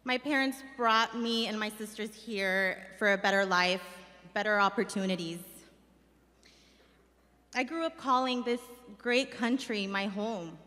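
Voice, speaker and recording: A woman's voice: medium pitch, unhurried, pushed and bright. A young woman from Mexico, a community organizer, earnestly reading a personal speech from notes at a stand mic on a large stage. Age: young adult. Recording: stage mic with long hall reverb.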